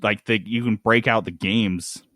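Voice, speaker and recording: A man hosting a casual gaming news discussion, talking in an informal, slangy and animated way. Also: lowish voice, a little slow, dry recording, clean studio sound. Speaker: podcast host.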